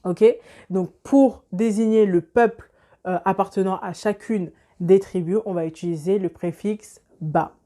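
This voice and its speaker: Woman, video host, mellow relaxed timbre, mid-range pitch, measured, didactic, explanatory delivery. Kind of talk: explanatory presentation to camera.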